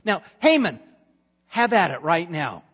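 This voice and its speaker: A man voicing a vain, forgetful, self-important ancient king who booms and rushes his words. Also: sing-song, a bit bright, slightly rough, heavily acted. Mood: commanding. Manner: booming, impatient, self-important. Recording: dull reverberant hall sound.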